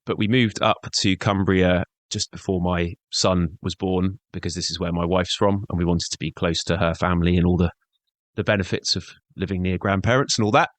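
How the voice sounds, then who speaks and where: A middle-aged man with a low voice speaks earnestly as an interview guest about his conservation work. His delivery is conversational, with many "you know" fillers, and the recording is dry and close. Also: steady tone, fairly quick, no room sound. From born in Scotland, grew up in Devon.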